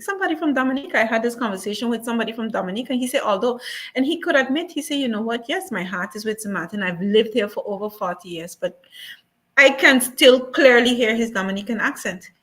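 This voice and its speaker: A woman's voice: on the high side, animated, fairly quick, fairly bright tone. A woman speaking as a guest on a talk show, earnest and repeating her points for emphasis. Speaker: museum guest. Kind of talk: casual talk show discussion.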